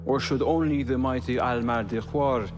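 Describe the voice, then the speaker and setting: An actor playing a smug, mocking officer among conspirators in a dramatic video game. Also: male voice, mid-range voice, moderate pace, music in the background, close to an everyday voice. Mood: smug, teasing.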